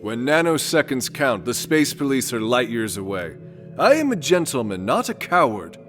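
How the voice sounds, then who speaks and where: A man's voice: medium pitch, sing-song, moderate pace, in a large hall, over music, natural voice. A man playing a pompous, theatrical space lord. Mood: bombastic. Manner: pompous, florid, theatrical diction. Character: pompous, self-important space adventurer lord, callous and paranoid. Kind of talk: comedic sci-fi audio drama acting.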